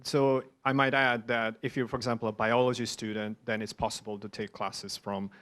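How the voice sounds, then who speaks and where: A man's voice: medium pitch, level tone, a little fast, a bit bright. Hesitant male moderator. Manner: hesitant, many ums and uhs. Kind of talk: hosting an online Q&A.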